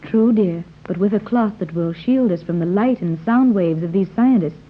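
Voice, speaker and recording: A woman's voice: medium pitch, normal speed, lightly acted. An actress playing a gracious, motherly adventuress in a 1930s radio serial, with theatrical, careful enunciation. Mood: motherly concern. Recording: muffled, noisy old 1930s radio recording.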